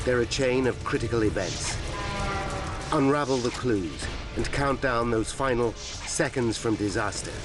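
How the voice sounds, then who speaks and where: A man's voice: mid-range voice, unhurried. A British man reading scripted disaster documentary narration in a grave, suspenseful, dramatic manner. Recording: loud dramatic music underneath. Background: dramatic music and disaster sound effects.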